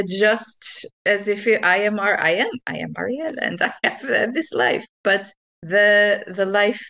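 A woman playing a tabletop roleplaying game, improvising in character in a halting, hesitant manner. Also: medium pitch, fairly bright tone.

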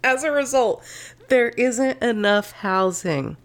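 A woman's voice: a little high, takes it slowly. A woman co-hosting a podcast, chatting casually and sardonically about politics, laughing often. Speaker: podcast co-host.

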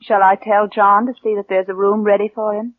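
An actress playing a bitter, downtrodden orphan niece, narrating with restrained intensity in a 1940s radio drama. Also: female voice, mid-range voice, flat delivery, fairly quick, no echo, lightly acted. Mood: meek, subdued. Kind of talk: 1940s radio acting, first-person narration. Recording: narrow-band vintage radio recording with music.

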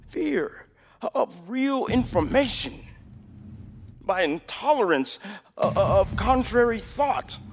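A man acting as a weary, solemn American president giving a halting, deliberate speech in a radio play. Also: sits high, heavily acted. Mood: grave, impassioned. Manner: halting, deliberate, repeats words for emphasis. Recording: long reverb, music underneath.

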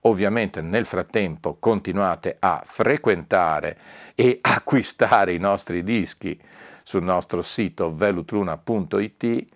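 A man presenting news as a record label owner in an informal promotional video, speaking emphatically and deliberately and repeating key words for weight. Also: deep voice, dry recording, studio quality.

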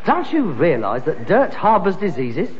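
An exasperated London son in a sitcom, scolding and sarcastic. His voice sits high. The old recording is dull, with long reverb and faint noise. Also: wildly swooping pitch, a little slow, exaggerated voice. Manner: scolding, sarcastic, working-class grammar. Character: exasperated, nagging grown son with frustrated ambitions. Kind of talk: British sitcom acting before an audience.

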